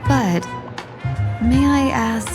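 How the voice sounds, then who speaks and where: A woman voicing a composed, sly fox-woman diplomat for a video game, speaking in a measured, polite and coolly self-possessed way. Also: a little high. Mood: polite, wry. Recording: roomy echo under clear background music.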